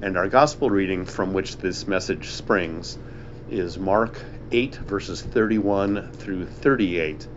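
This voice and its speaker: A man leading a church service, introducing scripture readings in a deliberate, solemn manner. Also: lowish voice. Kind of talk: measured spoken introduction to a sermon. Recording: dull lo-fi recording with clear background hum.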